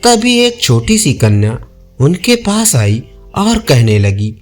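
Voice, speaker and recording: A male storyteller reading a scripted folk tale. His delivery is unhurried, with a lively rise and fall in pitch. Music plays behind the narration.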